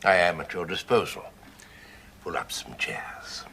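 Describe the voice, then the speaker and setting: An older man playing a courtly, kindly, eccentric professor in a radio drama, speaking in a deliberate, formal way. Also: deep voice, lively intonation, gravelly, sharp tone, whispered, heavily acted. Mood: genial, delighted.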